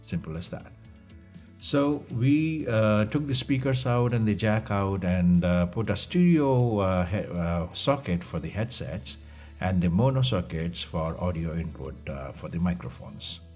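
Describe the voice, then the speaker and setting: A man presenting a tutorial over slides, explaining step by step in a halting way with repetition. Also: low voice, animated, a little mellow, faint music behind. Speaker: instructor presenting a DIY electronics project.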